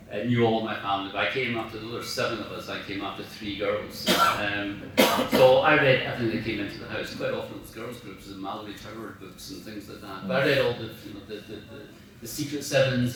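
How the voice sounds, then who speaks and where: A man's voice: fairly low pitch, fairly even intonation, moderate pace, crowd noise. A middle-aged or older Scottish man giving an informal, anecdotal speech in a rambling, self-deprecating, humorous way. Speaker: after-dinner speaker, professional writer. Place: large room at a club dinner. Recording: echoey room, distant mic, faint noise.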